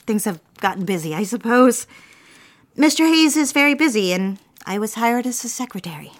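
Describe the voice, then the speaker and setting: An actress playing an anxious, hurt young secretary who speaks politely and hesitantly, confiding in an audio drama. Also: female voice, fairly high pitch, animated, normal speed, lightly acted. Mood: polite, subdued.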